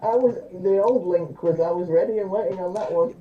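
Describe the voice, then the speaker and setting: A woman's voice: fairly low pitch, fairly quick. A woman chatting casually as a participant in an online game, over a video call. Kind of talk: casual chat. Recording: dull, lo-fi call audio, faint noise.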